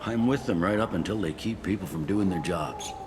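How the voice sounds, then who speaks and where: A man's voice: low-pitched, brisk, lightly acted. A man playing a wry, pragmatic police captain on a space station in a video game. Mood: wry, helpful. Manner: wry, blunt, matter-of-fact. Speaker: security captain character. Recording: clearly audible ambient noise.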